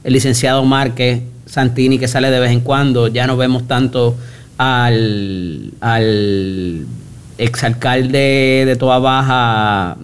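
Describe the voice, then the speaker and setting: A man hosting a radio talk show, brisk and probing, cutting in with pointed questions. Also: medium pitch, steady tone, smooth. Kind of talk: radio interview.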